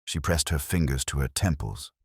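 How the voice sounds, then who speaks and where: A man's voice: very deep voice, animated, moderate pace, gravelly, breathy edge, no echo, studio quality. A man reading a scripted first-person detective story in a hard-boiled narrating style.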